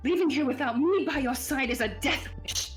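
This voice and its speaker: Woman playing a haughty, high-voiced villain's minion. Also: normal speed, faint music behind, close to an everyday voice. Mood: scornful. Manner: formal, persuasive, disdainful. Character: loyal, haughty female minion of a vampire lord. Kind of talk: scripted ASMR roleplay monologue.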